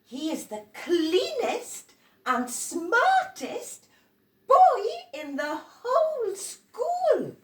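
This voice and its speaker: A woman's voice: very high voice, huge pitch swings, drawn-out words, voice pushed up, exaggerated voice. A woman voicing an admiring grown-up in a children's story, with effusive, emphatic exclamations. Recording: roomy echo, distant from microphone.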